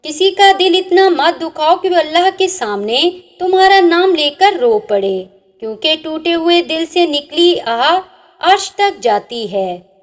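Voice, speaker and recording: Female narrator, high and melodic, for slow recitation of religious sayings with gently falling line endings. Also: expressive. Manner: measured recitation, each saying ends on a dropping, softer note. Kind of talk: reading inspirational religious sayings over slides.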